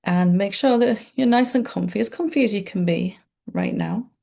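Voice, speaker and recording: A woman meditation teacher leading a gentle, unscripted guided meditation in a soothing, informal and reassuring way. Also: mid-range voice, normal speed, vocal fry.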